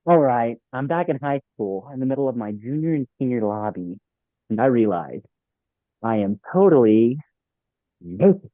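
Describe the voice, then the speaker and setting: A man playing a droll, deadpan young office worker in a sitcom script read. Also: mid-range voice, normal speed, no echo, lightly acted. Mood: dry storytelling. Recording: thin, phone-like, dry sound.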